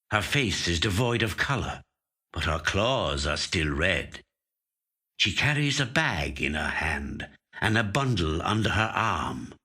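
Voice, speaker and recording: A man reading a literary short story as a trained actor, with measured, deliberate, dramatic phrasing into a studio microphone. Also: lowish voice, bright, forceful tone, slightly rough. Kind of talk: scripted literary narration.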